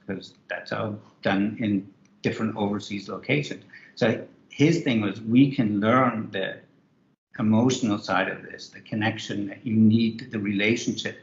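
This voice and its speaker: A man, co-author of a book, talking loosely with many restarts in a virtual author interview. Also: fairly low pitch. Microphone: video call. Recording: roomy, muffled video call.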